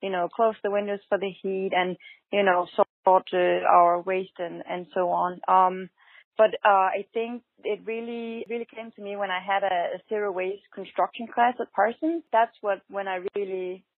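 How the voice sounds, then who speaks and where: A woman's voice: medium pitch, fairly even intonation, moderate pace, dry recording. A young Danish woman with a Danish accent, answering interview questions hesitantly, with many fillers and restarts. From Denmark. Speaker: guest, fashion designer. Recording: tinny phone-line sound, dry.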